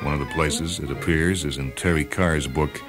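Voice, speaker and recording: A man's voice: very deep voice, fairly even intonation, normal speed. A man hosting and reading short stories as scripted literary narration. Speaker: host and story reader. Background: music and sound cues.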